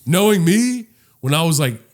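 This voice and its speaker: A man in his late twenties co-hosting a podcast, animated and slangy, laughing easily in casual chat. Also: on the high side, normal speed. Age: late 20s. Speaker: podcast co-host.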